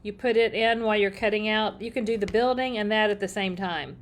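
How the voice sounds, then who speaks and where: A middle-aged woman, slightly hoarse, chatting upbeat through a casual live crafting demo and greeting viewers by name. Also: medium pitch, fairly even intonation, a little fast. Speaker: paper-crafting demonstrator.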